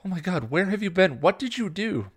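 A man's voice: high voice, very animated, fairly quick, slightly rough, pitched above the performer's own voice, full character voice. A man voicing a young woman, an emotional, protective older sister who scolds, pleads and stammers in a dramatic story reading. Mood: alarmed, scolding.

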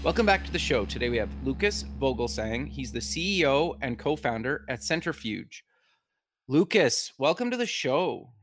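A man's voice: mid-range voice, very animated, moderate pace, fairly bright tone, soft background music. Male podcast host, casual interviewer, mid-range voice, brief affirmations. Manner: friendly, repeats short affirmations.

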